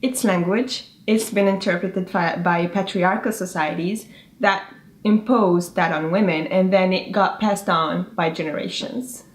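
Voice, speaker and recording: A woman's voice: on the low side, steady tone, unhurried. A young woman whose first language is Arabic, a student speaking firmly and argumentatively in an interview. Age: young adult. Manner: firm, argumentative, poised. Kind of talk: interview testimony. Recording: roomy room, faint ambient noise.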